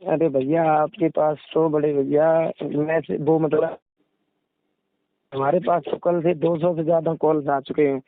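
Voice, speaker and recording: Casting: young man, higher-pitched, respectful explainer, conversational, heard down a narrow phone line. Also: little pitch movement, unhurried, no echo. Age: young adult. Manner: deferential, explanatory. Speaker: religious storyteller (kathavachak). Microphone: phone call. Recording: recorded phone call, narrow dry sound.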